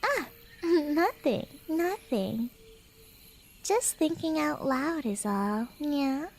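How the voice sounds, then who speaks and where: Woman playing a high-voiced, teasing Cheshire cat girl. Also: expressive, drawn-out words, creaky, a little hiss or hum, heavily acted. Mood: coy, mock-puzzled.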